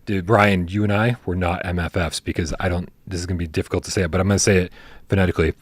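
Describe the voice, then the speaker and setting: Man, low voice, podcast host, animated casual chat, dry close mic. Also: quick pace, husky, no room sound. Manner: animated, swears casually, stumbles and restarts.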